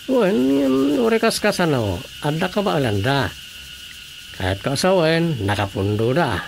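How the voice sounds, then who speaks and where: A man's voice: on the high side, sing-song, a little slow, vocal fry, a little hiss or hum, heavily acted. A man with an Ilocano accent acting a patient village council officer in an Ilocano radio drama comedy. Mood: patient, explaining.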